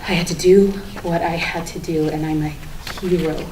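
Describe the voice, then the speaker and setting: A woman's voice: sits low, fairly even intonation, moderate pace, close to an everyday voice. A woman acting a bitter, manic, sardonic character in a theatrical dramatic monologue at a staged reading. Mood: defiant. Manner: theatrical, emphatic, repetitive, sardonic, swinging from hushed to ranting. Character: bitter, manic woman confessing her war on a Barbie doll. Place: indoor room, live staged reading. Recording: echoey room with background hiss.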